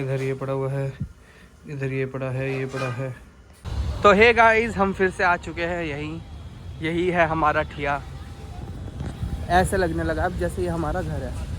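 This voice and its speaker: A man's voice: medium pitch, background noise. A man vlogging casually from home, talking in a chatty, teasing way to his viewers. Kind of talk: casual daily vlog chat. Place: at home indoors.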